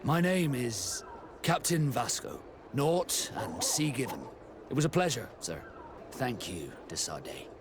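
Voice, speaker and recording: An actor playing a proud, hot-headed sea captain in a video game. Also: male voice, medium pitch, very animated, measured pace. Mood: courteous. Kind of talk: video game cutscene acting. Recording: clear background ambience noise.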